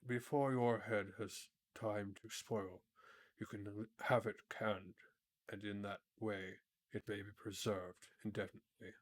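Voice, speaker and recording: A man voicing a dignified, kindly tin man emperor from a storybook, with a courteous, pompous and measured manner. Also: fairly low pitch, fairly even intonation, lightly acted. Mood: kindly, sympathetic. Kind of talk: storybook character read aloud.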